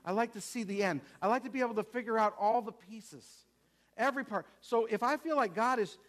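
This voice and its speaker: A man's voice: sits high, brisk. A man preaching a sermon emphatically, repeating phrases and putting questions to the congregation.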